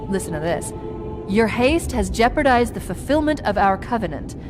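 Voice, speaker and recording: A woman's voice: medium pitch, sing-song, moderate pace, lightly acted. An actress playing a witty female AI companion who gives briefings with dry, wry quips. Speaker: AI advisor. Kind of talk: game cutscene acting. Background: loud orchestral music.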